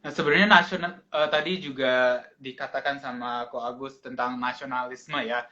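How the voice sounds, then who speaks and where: A male interviewer with a bright, forceful voice asks his questions casually and hesitantly over a video call. The sound is dull and muffled, like low-quality call audio. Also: steady tone, normal speed. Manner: casual and hesitant, with short restarts.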